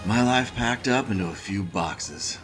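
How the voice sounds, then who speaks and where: An actor playing a weary, dry-witted mechanical engineer who mutters wry, hesitant asides in a noir video game. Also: male voice, fairly low pitch, animated, a little slow. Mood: musing, subdued. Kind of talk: noir point-and-click game acting. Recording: music behind the voice.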